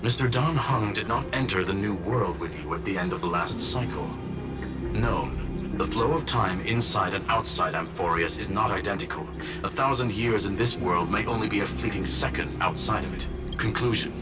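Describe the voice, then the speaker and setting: Man acting a formal, low-voiced logical robot scholar. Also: moderate pace, natural voice. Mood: matter-of-fact. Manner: formal, clipped one-word announcements, analytical. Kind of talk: video game voice acting. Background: game music.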